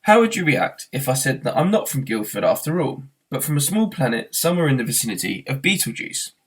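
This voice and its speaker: A man's voice: medium pitch, very animated, fairly quick, pitched above the performer's own voice, natural voice. A man voicing a brusque, unflappable male space hitchhiker in a storybook, curt and matter-of-fact. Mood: explaining.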